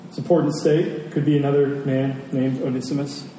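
A man preaching a sermon as a pastor, earnest and emphatic, pausing and repeating phrases for weight. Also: flat delivery, warm tone. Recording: echoey hall, distant mic, faint rumbling noise.

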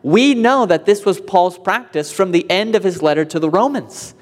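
A man's voice: high-pitched, lively intonation, normal speed. A man preaching an expository sermon, explaining in an earnest way and rising in emphasis on key points. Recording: long hall reverb.

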